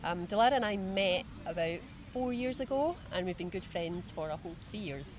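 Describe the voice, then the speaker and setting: A woman in her forties speaks in a measured, friendly way as a podcast co-host, her voice on the lower side. She is outdoors in a park, with passing traffic clearly heard behind her. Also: lively intonation. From Scotland. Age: 40s. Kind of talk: casual chat. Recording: outdoor recording with traffic noise.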